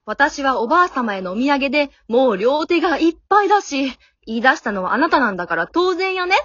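Radio drama heroine, female amateur: haughty, pushy young girl, high-pitched and very animated, dry slightly dull recording. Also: brisk, no room sound. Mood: bossy, coy. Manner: haughty, coy, pushy ladylike speech.

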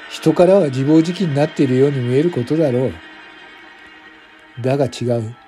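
A man narrates a radio drama in the first person. His voice is dark and mellow at a middling pitch, brooding and solemn, with music playing behind him.

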